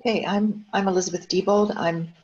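A woman's voice: medium pitch, steady tone, normal speed, darkish tone, lo-fi sound, a little hiss or hum. An American woman introducing herself earnestly on a video call, searching for words as she goes. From United States. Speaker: founder of a dialogue organization.

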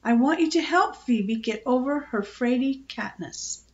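A woman voicing a no-nonsense woman of a farmhouse in a children's storybook, brisk and stern. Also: sits high, lively intonation, darkish tone, lo-fi sound. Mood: cross, firm. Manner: brisk, stern, matter-of-fact. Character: firm, sensible, no-nonsense woman who keeps a farmhouse.

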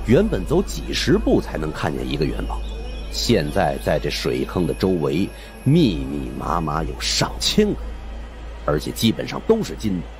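Deep-voiced male storyteller, very animated pitch. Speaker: audiobook narrator. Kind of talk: dramatic scripted first-person story narration. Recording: faint background noise.

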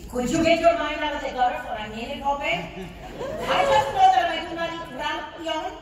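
A woman's voice: sits high, brisk, a crowd in the background, close to an everyday voice. A woman playing a melodramatic, insistent young wife in a comic fairy-tale reading. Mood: pleading, insistent. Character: dramatic, craving young wife longing for a baby. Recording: echoey convention hall, far off, noisy.

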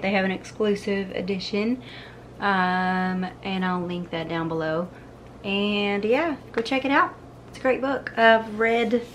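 A woman's voice: mid-range voice, a bit bright. Young woman, cooking vlog, upbeat chatty tone, home kitchen, faint room hiss. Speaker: cooking vlogger. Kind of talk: casual cooking video.

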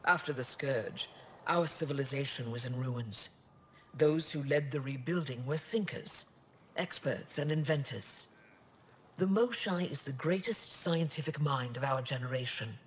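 Male actor as alien official, video game dialogue, earnest, dignified, devoted, measured formal phrasing, medium pitch. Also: a little hiss or hum, lightly acted. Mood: solemn, devoted.

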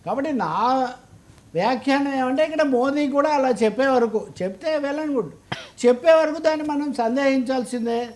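A man's voice: extremely high pitch, normal speed, darkish tone, a little hiss or hum. A man with a Telugu accent giving political commentary in an interview, arguing emphatically and throwing out rhetorical questions.

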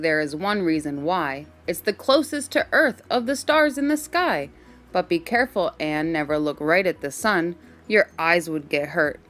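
A woman reading a rhyming children's book aloud with a measured, rhythmic delivery. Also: fairly low pitch, a bit bright. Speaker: storybook reader. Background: faint music.

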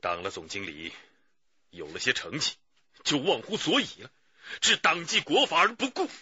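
An actor playing a grave, righteous middle-aged police chief in a radio drama, with a husky voice. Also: male voice, on the high side, wildly swooping pitch, normal speed, bright, forceful tone, no room sound, dull audio, heavily acted. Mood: grave, pained. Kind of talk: Chinese radio drama acting.

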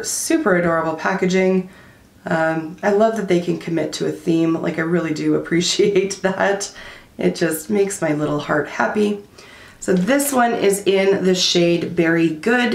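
Chatty woman with a gravelly, fading voice. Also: lowish voice, roomy sound. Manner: chatty and candid, many likes and fillers. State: losing her voice. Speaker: beauty vlogger. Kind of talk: casual product review. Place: small room at home, indoors.

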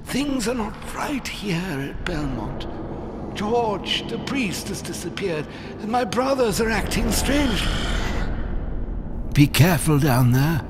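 A man's voice: high voice, noisy background. A man playing a frightened, secretive middle-aged monk in a dramatic video game cutscene.

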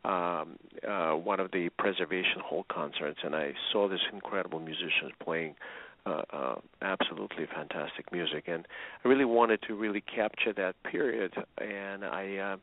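A man born in Russia, a musician guest telling stories over the phone with many pauses and fillers. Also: low-pitched, dry recording. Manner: relaxed storytelling with frequent fillers. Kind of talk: phone-in interview. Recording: tinny phone line.

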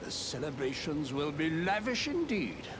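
An actor playing a pompous, self-important noble lord with a grand, theatrical manner, in a game cutscene. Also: male voice, fairly high pitch, very animated, normal speed, noisy background. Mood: grandiose. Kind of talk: video game voice acting. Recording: game cutscene with loud music.

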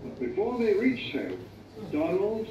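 Slow old-fashioned storybook reader, distant and echoey. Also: male voice, sits high, huge pitch swings, muffled recording, noisy background. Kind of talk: slow storybook read-aloud. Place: exhibition room with a crowd chatting nearby. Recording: distant echoey playback in a crowded exhibition hall.

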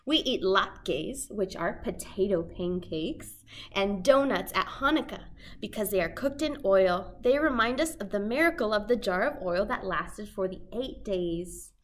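A young woman hosting a children's storytime, reading a picture book aloud in simple, deliberate phrases for toddlers. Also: medium pitch, expressive. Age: young adult. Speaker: children's library storytime host. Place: small indoor set with fabric backdrop.

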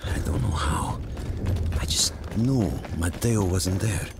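An actor playing an old war veteran in a video game, narrating his memories of battle gravely and reflectively. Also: deep voice, heavy background noise. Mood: grave, urgent. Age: older. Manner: slow, grave, reflective storytelling. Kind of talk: somber dramatic game narration. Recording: loud music and ambience behind.

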